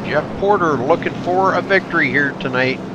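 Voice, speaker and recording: A stuffed-up man calling a race live, pitched a little high and excitable in a folksy way. His voice comes through noisy broadcast audio with a clear hiss behind it. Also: moderate pace, muffled recording. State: stuffed up from allergies. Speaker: race commentator. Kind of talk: live race play-by-play commentary.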